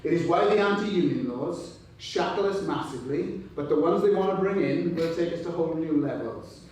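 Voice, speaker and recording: A man's voice: a little high, lively intonation, normal speed, darkish tone, far from the mic. A man giving a fiery, rousing union rally speech, driving his points home with lists and repetition. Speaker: trade union speaker. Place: meeting hall. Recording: very echoey hall, picked up from afar.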